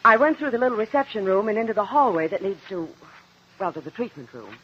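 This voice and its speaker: An actress in a 1950s radio drama playing a defensive widowed former nurse, hesitant and indignant on the witness stand. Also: female voice, mid-range voice, very animated, fast, husky. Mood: hesitant, uneasy. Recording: muffled vintage 1950s radio recording.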